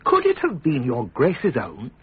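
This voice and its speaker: An actor playing a principled, deferential statesman in a classic radio drama, speaking in a measured, formal and courteous way. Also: mid-range voice, wildly swooping pitch, slightly rough, full character voice. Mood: careful, humble. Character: principled, deferential male statesman, grave and careful. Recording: muffled, narrow-band radio broadcast.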